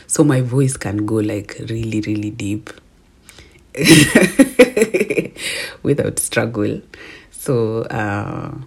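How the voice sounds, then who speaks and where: A young Kenyan woman with a deep, low-pitched voice and a slightly husky edge talks through a casual solo podcast episode. She is chatty and self-affirming, and she laughs at herself easily. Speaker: podcast host.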